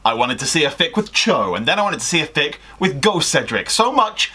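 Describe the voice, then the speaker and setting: A young man chatting casually and reading stories aloud in an animated, jokey way. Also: a little high, fairly quick, slightly rough. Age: young adult. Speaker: co-host of a fan fiction video. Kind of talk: casual chat and story read-aloud. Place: small indoor room at home.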